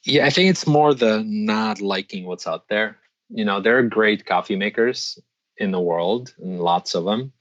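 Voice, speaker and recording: Very deep-voiced Czech-born designer, measured. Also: male voice, no room sound, dull audio. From Czech Republic. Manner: thoughtful, restarts often, measured. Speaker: guest, designer and metalsmith. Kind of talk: interview answers.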